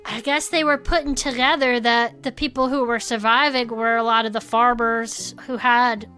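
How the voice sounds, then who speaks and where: An actress playing an earnest small-town cryptid club member in an audio drama, telling local monster lore in a folksy, suspenseful way. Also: female voice, fairly high pitch, steady tone, moderate pace, sharp tone, soft background music. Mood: earnest, explanatory. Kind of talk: conversational audio fiction storytelling.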